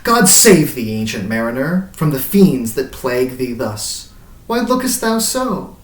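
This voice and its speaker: A man's voice: mid-range voice, very animated, unhurried. A man voicing an agitated wedding guest held against his will, protesting dramatically in archaic verse. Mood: alarmed. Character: indignant, then fearful male wedding guest, unwillingly held. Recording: roomy echo, distant mic.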